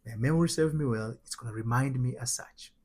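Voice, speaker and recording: Male, Tanzanian accent, husky voice, mid pitch, motivational spiritual coach, emphatic delivery, repeated phrases, rhetorical questions. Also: a little fast, darkish tone. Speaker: host, spiritual transformation coach. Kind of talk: motivational spiritual teaching with personal anecdotes.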